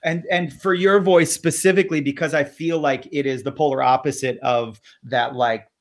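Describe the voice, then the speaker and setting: A host running a casual interview over a video call, speaking in a chatty, halting way with lots of quick agreement. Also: mid-range voice, steady tone. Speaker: tour company host. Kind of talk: casual chat.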